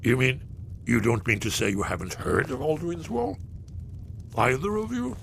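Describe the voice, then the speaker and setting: Excitable, creaky old scholar. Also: male voice, medium pitch, moderate pace, a little hiss or hum. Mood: excited, delighted. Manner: excitable, rambling, theatrical storytelling. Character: elderly, excitable, scholarly old male loremaster. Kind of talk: video game voice acting.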